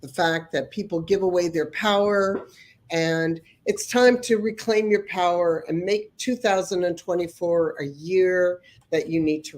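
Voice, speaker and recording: A woman's voice: fairly low pitch, a little mellow. A woman hosting a motivational podcast, a little nasal from a cold, earnest and prone to repeating words as she starts a thought. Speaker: podcast host. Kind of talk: motivational chat.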